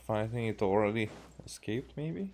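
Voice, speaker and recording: A man with a Hungarian accent talks his way casually through a game. His voice is low and gravelly, and he muses to himself in halting phrases over faint background noise. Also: expressive, a little mellow. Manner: musing aloud to himself, halting. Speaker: game streamer. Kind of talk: casual gameplay commentary.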